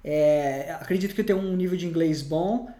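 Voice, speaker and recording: A man's voice: on the high side, fairly quick, mellow. Hesitant man talking in an echoey room. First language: Portuguese. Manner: hesitant, many restarts and fillers. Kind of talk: casual conversation.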